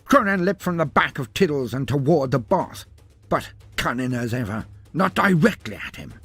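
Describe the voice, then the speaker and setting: A husky-voiced man tells a war fantasy story with theatrical relish and a wry edge. His pitch moves freely through florid, dramatic sentences. Faint music runs underneath. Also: sing-song, normal speed, a bit bright, no room sound. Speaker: storyteller narrator. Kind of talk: dramatic scripted fantasy narration.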